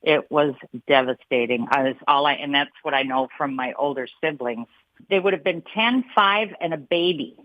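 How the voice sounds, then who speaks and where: A woman's voice: low voice, moderate pace, dry recording. A woman calling a radio show, plain-spoken and wry. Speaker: caller. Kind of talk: phone call to a radio show. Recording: muffled phone line.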